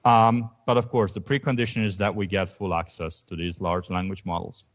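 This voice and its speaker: A man's voice: deep voice, fairly even intonation, moderate pace. A man whose first language is Croatian, giving an academic lecture in a thoughtful way, with frequent ums and restarts. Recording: dull lo-fi recording.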